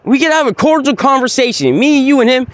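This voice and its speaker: A man's voice: extremely high pitch, animated, fairly quick. Insistent man arguing on a night street. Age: 40s. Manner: insistent, repetitive, rambling and confrontational. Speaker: citizen filming police. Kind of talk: heated street argument.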